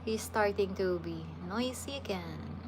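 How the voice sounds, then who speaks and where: Slow-talking woman, noisy background. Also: mid-range voice. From Philippines. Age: 30s. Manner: relaxed, chatty, with frequent restarts. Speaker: livestream host. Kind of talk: casual livestream Q&A, reading viewer questions aloud.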